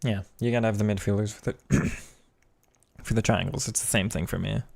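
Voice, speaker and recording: A young man with a hoarse voice, chatting casually about football tactics and reacting to his own play while gaming. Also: on the low side, steady tone, normal speed, a little mellow. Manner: thinking aloud, reacting to play. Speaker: gaming streamer. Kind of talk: casual gameplay commentary.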